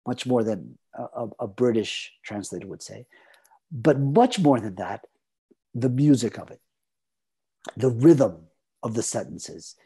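Husky, mellow man with a Spanish accent. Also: medium pitch, animated. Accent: Latin American Spanish. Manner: halting, with many fillers and repeated restarts. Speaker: author answering an interviewer. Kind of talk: reflective conversational talk.

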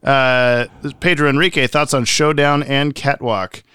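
A man's voice: mid-range voice, steady tone, a little slow, sharp tone, clean tone, no room sound, clean studio sound. A man co-hosting a podcast, reading listener messages aloud in casual chat with jokey asides. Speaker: podcast co-host.

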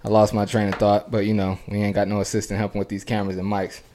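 A man co-hosting a casual podcast, telling rambling stories quickly with lots of fillers. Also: fairly low pitch, monotone, mellow, a little hiss or hum. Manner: fast, rambling storytelling with many fillers. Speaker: podcast co-host. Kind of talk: casual chat. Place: home podcast studio.

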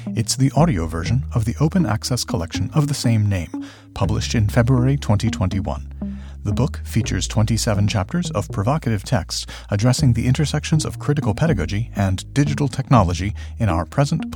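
A man with a slightly low voice delivers a scripted podcast introduction. He speaks as the host, with music playing clearly behind him. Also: lively intonation, a little fast. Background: music bed.

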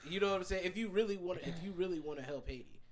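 A man's voice: high voice, racing. A man, talking through an opinion with many restarts in a casual podcast chat. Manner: hesitant, restarts while reasoning. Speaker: podcast co-host.

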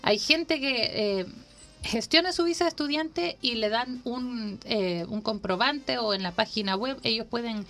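A woman from Honduras with a fairly high voice speaks as an admissions coordinator in a radio studio. She explains things step by step, measured and patient, pausing on fillers and restarting her phrases. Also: a bit bright. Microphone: radio studio microphone.

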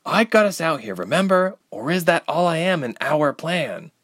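Guy doing a bold fiery young woman for an audiobook, pushed up high, really animated, emphatic. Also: moderate pace. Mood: angry. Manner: emphatic, insistent. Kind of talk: audiobook character voice.

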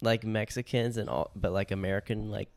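A man's voice: fairly low pitch, steady tone, a little fast, gravelly, no echo. A man co-hosting a podcast, chatting loosely, joking and swearing freely. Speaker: podcast co-host. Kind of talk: casual chat.

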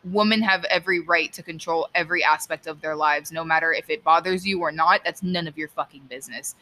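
Bright, blunt young woman podcasting. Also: deep voice, brisk. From Cuban background. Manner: blunt, emphatic, swears freely. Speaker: podcast host. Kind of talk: casual opinionated chat. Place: outdoors.

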